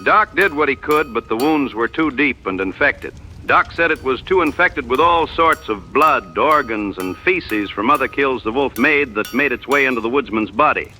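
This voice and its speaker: A worried, blunt frontier companion, played by a man in an audio drama. The voice is piercingly bright and tense, with a folksy rural drawl. Faint music runs underneath. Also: medium pitch, lively intonation, moderate pace, few pauses, poor audio. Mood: wry, concerned.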